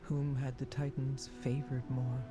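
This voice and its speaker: A woman's voice: a bass voice, level tone, unhurried, over music. An actress voicing an earnest female leader of a stone people in a dramatic fantasy game. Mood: somber reflection.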